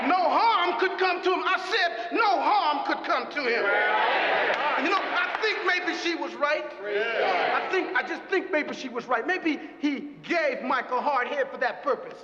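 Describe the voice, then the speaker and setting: An actor playing an emotional, rambling preacher who introduces his brother in a dramatised speech, halting and repeating himself with fervour. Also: very high-pitched, lively intonation. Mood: fervent, moved. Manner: halting, repetitive, impassioned. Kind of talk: dramatised public speech from a pulpit. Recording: echoey noisy hall, dull sound, crowd.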